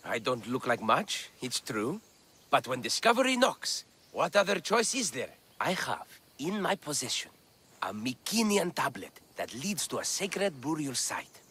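An actor playing an eager, bookish scholar of antiquities in ancient Greece, with florid, theatrical enthusiasm in video game dialogue. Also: male voice, medium pitch, sing-song, a little slow, bright, forceful tone, slightly rough, heavily acted.

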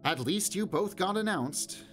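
A man's voice: high-pitched, animated, a little slow, faint music behind, pitched above the performer's own voice. A man voicing a fussy little robot drone that speaks in a prim, clipped way. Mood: glum.

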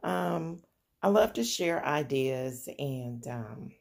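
Slow, low-voiced woman with many ums. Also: expressive, gravelly, slightly rough. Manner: soft-spoken, unhurried, frequent ums and uhs. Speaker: home decor vlogger. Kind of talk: casual show-and-tell narration. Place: indoors at a dining table.

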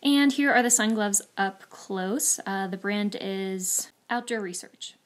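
A woman's voice: medium pitch, takes it slowly. Chatty young woman walking through her gear. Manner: casual, chatty, upbeat. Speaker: vlogger. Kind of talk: voice-over gear walkthrough.

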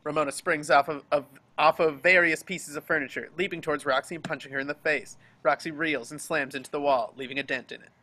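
Bright, forceful man narrating a script. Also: fairly high pitch, steady tone, fast talker, few pauses. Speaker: stage-direction narrator. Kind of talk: scripted narration, dramatic table read. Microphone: video call.

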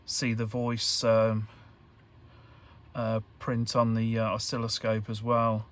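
A man giving an informal hands-on tutorial, talking in a halting, hesitant way as he thinks aloud. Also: on the low side, completely flat delivery. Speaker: electronics hobbyist presenter.

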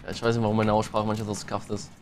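A young man streaming a shooter game, giving clipped, excited callouts to his teammate in slangy speech. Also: fairly low pitch, very fast. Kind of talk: fast team callouts while gaming.

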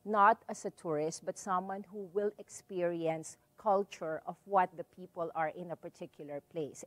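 A middle-aged woman from the Philippines with a Filipino accent, giving a public lecture in a library hall and telling her story in a measured way with many "so"s and "um"s. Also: lowish voice, darkish tone. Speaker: visiting lecturer. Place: library hall with a seated audience.

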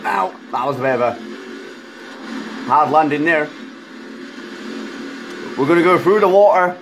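A man with a croaky voice, chatting excitedly through a racing game as a gaming YouTuber, rambling with fillers. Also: on the high side, unhurried, slight background noise, poor audio. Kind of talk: casual racing game commentary.

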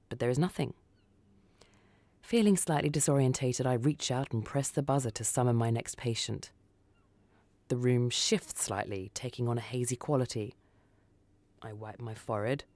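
A woman's voice: rumbling low, dark tone, dry recording. A woman reading a first-person short story for radio as scripted narration. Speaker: story reader.

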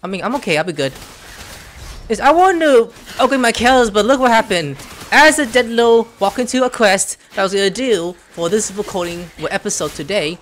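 A young adult man commentating casually as he plays a game. His voice is fairly light for a man and swings widely in pitch, recorded indoors in a small room. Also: lively intonation, a little fast, a bit bright, slight background noise. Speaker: gaming video host. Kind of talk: casual gameplay commentary, reading dialogue choices aloud.

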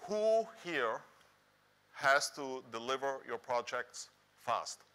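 A man's voice: mid-range voice, big pitch swings, takes it slowly, pushed and bright, clean tone. A middle-aged man with a Swiss accent giving a conference presentation in a conversational manner. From Switzerland. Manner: conversational, rhetorical questions to the audience. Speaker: conference speaker, professor. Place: large dark conference hall stage, indoors.

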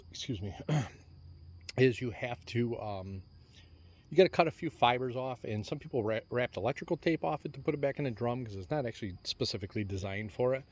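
A man's voice: mid-range voice, lively intonation, fairly quick. A man casually walking through his truck winch setup outdoors, rambling with many fillers and restarts, filmed on a handheld phone. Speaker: DIY truck vlogger. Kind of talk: casual how-to walkthrough. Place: outdoors on a lawn beside a truck.

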